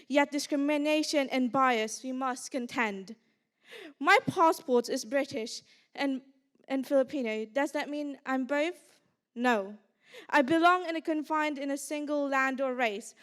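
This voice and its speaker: A woman's voice: high-pitched, clear, resonant tone. A young woman reciting her own poem at a student event, earnest and stumbling slightly over words. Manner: earnest, reads with small stumbles. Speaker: student poet. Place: indoor conference stage.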